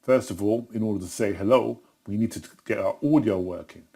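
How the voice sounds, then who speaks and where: A middle-aged man giving a casual step-by-step tutorial in a chatty, simple way, with a husky voice. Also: on the low side, a little fast, dark tone. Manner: simple, chatty, talking himself through each step. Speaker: tutor in a tutorial video, also acting as the student.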